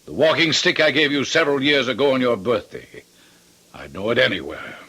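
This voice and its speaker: A man playing a concerned, insistent gentleman friend in an old radio drama, formal and urgent with dramatic pauses. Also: medium pitch, expressive, husky, exaggerated voice.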